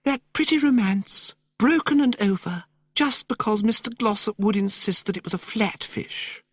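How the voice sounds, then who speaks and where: Casting: a male reader putting on a dreamy, whimsical young woman, high-pitched, breathy and drawn out. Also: expressive, dry recording, highs cut off. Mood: wistful. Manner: breathy, sighing, drawn-out gushing. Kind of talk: audiobook character voice.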